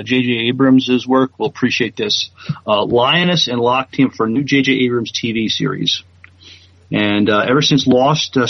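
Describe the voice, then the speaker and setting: A man's voice: mid-range voice, dry recording. A man co-hosting a podcast in casual chat and reading news, hesitant and full of fillers. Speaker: podcast co-host. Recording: dry, dull lo-fi mic.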